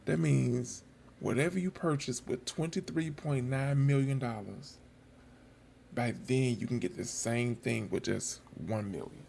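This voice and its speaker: A man's voice: medium pitch, a little slow, gravelly, a little mellow, slight background noise. A man giving casual commentary to his viewers, musing aloud and repeating himself for emphasis. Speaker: video host. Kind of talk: casual chat commentary over old footage.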